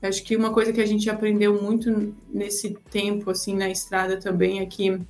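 A woman's voice: medium pitch, monotone, normal speed, darkish tone. A woman speaking as an interview guest, answering in an earnest, reflective way. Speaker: guest, traveler.

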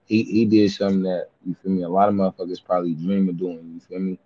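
Low, dark man, laid-back podcast talk, dull lo-fi capture. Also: little pitch movement, fast. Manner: laid-back, keeps saying "you feel me". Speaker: podcast guest. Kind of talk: casual chat. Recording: dull lo-fi sound.

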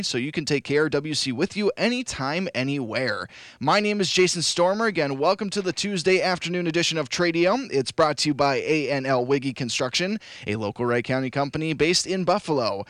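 A man hosting a local radio call-in show, his voice hoarse and raspy from losing it, upbeat and repeating phone numbers slowly. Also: fairly high pitch, a bit bright, no room sound, studio quality. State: hoarse, lost voice. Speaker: radio host. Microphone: studio broadcast mic.

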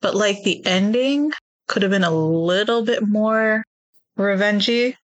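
A woman co-host talks through a TV plot recap in a loose, chatty way, pausing on ums and softening her points. Her voice sits at a middling pitch. The sound is dry and close, with no room around it. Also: no room sound. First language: not Korean. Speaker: podcast co-host. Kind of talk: casual chat recapping a TV show.

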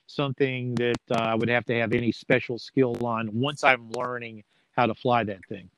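A man's voice: medium pitch, little pitch movement, moderate pace, a bit bright, poor audio. A man talking casually as an interview guest, folksy and plain-spoken, with a joking streak. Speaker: sports play-by-play announcer as interview guest.

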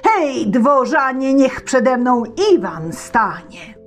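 A woman voicing a wrathful bearded old tsar, shouting and threatening in a raised voice. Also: high voice, expressive, takes it slowly, soft background music, voice pushed up, full character voice.

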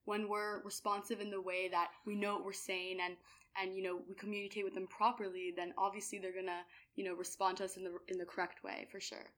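A woman's voice: mid-range voice, level tone, quick pace, fairly bright tone. A young adult woman giving earnest, conversational answers as a podcast guest. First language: bilingual in French. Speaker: podcast guest, youth volunteer. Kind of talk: casual interview answers.

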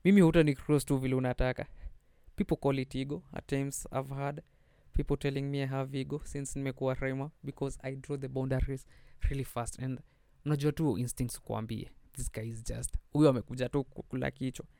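A man's voice: mid-range voice, flat delivery, fairly quick, vocal fry, warm tone, clean tone, no room sound, studio quality. A young Kenyan man hosting a podcast, chatting casually and switching between English and Swahili slang. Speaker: podcast host. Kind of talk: casual solo podcast chat.

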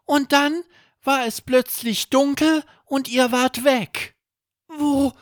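High-pitched anxious little boy. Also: fairly even intonation, slow, dry recording, clean studio sound. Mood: puzzled, anxious. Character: small, wide-eyed, anxious, curious boy.

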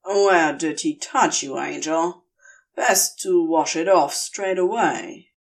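A protective male demon, read by a woman, a little low and plain-spoken. He talks directly and with concern, as a caretaker in a fantasy audio story. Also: takes it slowly, far from the mic. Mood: urgent concern.

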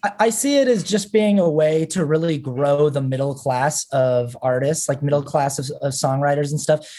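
A man's voice: a little high, normal speed, mellow. A man in his thirties, a musician talking casually on a podcast, rambling with lots of fillers. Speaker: musician guest.

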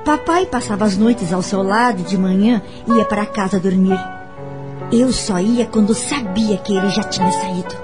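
A woman playing a gentle, wounded but forgiving adult daughter who recounts her family past in a radio drama, measured and heartfelt. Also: medium pitch, lightly acted. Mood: sorrowful, reflective. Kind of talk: dramatised first-person narration, radio drama. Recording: background music under the voice.

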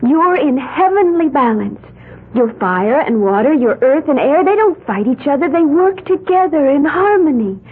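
A woman's voice: high voice, sing-song, normal speed, phone-quality sound. An actress playing a dreamy young woman with odd beliefs who babbles in a wide-eyed, sing-song way, in a 1970s radio drama. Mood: earnest, dreamy. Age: young adult. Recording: band-limited old radio broadcast.

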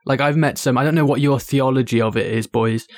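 A man with a Welsh accent unpacks a Bible passage in relaxed, earnest podcast chat. His voice sits at a middle pitch and sounds dry, with little room around it. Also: fairly quick, no room sound. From Wales. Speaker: podcast co-host. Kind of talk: casual teaching chat.

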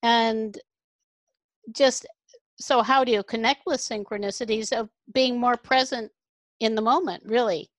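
A woman hosting an interview, explaining ideas in a reflective, measured way. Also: fairly high pitch, steady tone, no room sound. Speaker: host.

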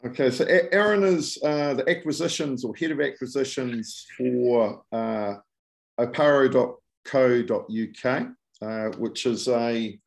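Gravelly-voiced man podcast host. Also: mid-range voice. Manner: halting, many fillers. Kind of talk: casual interview chat.

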